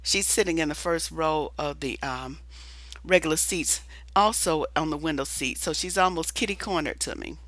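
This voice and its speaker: A hoarse woman who is losing her voice talks in a casual, rambling monologue, restarting often and filling pauses with "uh" and "um". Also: low voice, fairly bright tone, no echo, rough recording. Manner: rambling, restarts with many fillers. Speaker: video commentator.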